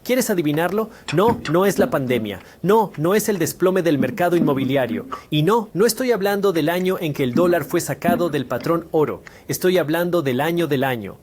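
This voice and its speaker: A man's voice: on the high side, animated, normal speed, few pauses, darkish tone. A man giving a scripted finance explainer lesson, speaking conversationally and putting rhetorical questions to the viewer. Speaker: finance educator.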